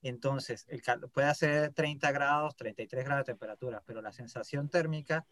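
Venezuelan man, podcast guest, relaxed but halting speech, frequent fillers. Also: medium pitch. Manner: hesitant, many "este" fillers and repeats. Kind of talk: casual interview chat.

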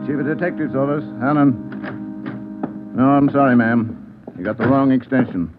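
A man's voice: medium pitch, measured pace, over music, close to an everyday voice. Clipped American desk officer, tinny sound, male actor. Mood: businesslike. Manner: clipped, routine. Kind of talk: 1940s-50s radio drama acting. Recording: tinny vintage radio recording.

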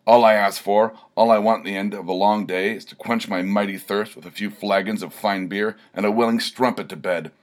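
A man's voice: steady tone, fairly quick, hardly any pauses, lightly acted. A man hamming up a bellowing barbarian swordsman. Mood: lusty boasting. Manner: booming, theatrical bellowing. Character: bombastic lecherous barbarian swordsman, self-pitying.

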